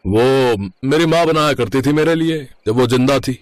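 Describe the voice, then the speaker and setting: A man voicing an honest, gruff truck driver and cook who talks plainly and firmly in a moral story cartoon. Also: medium pitch, normal speed, dry recording, close to an everyday voice. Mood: wistful. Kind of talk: animated moral story dialogue.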